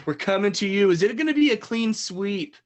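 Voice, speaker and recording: A man's voice: sits high, animated, a little fast. A man hosting an improvised comedy game show, reading out questions and scores to the contestants.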